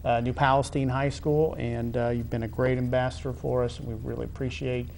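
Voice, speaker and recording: A middle-aged American man conducting a conversational sports interview, halting and wordy, often repeating phrases. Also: mid-range voice, level tone, creaky, a little mellow, slight background noise, low-quality recording. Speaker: interviewer, high school athletic director.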